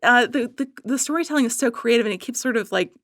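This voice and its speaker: Higher-pitched woman gushing in casual chat. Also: fast, no room sound, studio quality. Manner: gushing, animated fan enthusiasm with emphatic repetition. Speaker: podcast guest.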